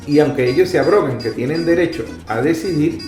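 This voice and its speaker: A man giving explanatory political commentary in an emphatic, deliberate manner. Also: on the high side. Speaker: political commentator and programme host. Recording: roomy echo with guitar music behind.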